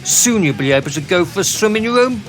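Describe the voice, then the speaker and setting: A man's voice: sits high, expressive, fast, soft background music. An actor playing a cruel, mocking, commanding male psychopath in a radio drama. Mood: menacing, taunting. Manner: taunting, sneering, commanding. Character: cruel, mocking, superior male psychopath running a torture experiment.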